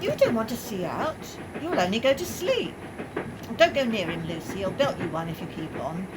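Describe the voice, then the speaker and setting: A woman's voice: sing-song, normal speed, close to an everyday voice. A woman playing a blunt, put-upon British working-class mother in a radio play, by turns scolding and resigned. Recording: clear noise, train rumble.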